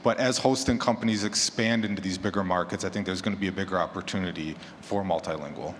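Guy on a panel, kinda low voice, opinionated, echoey hall, slight noise. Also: quick pace. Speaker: panelist, horror fiction podcaster. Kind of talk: live panel discussion. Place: large conference room with audience.

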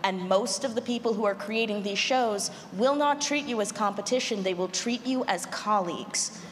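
A woman's voice: mid-range voice, moderate pace. A woman giving casual, encouraging advice as a panelist. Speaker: panelist, showrunner. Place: large indoor convention panel room. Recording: reverberant noisy conference room.

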